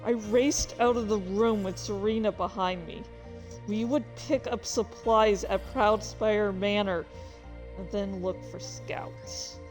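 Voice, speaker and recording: A woman's voice: medium pitch, rough recording. A woman reading their own fan fiction aloud as a streamer, moving between story narration and casual asides.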